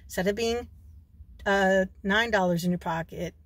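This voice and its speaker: A woman's voice: mid-range voice, normal speed, no echo. A woman in her forties from New York, vlogging casually from a parked car into a phone held at arm's length, chatty and given to digressions.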